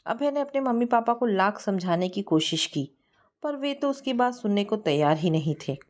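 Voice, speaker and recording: Expressive woman narrating a story. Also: mid-range voice, normal speed. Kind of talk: scripted narration of an emotional Hindi family story.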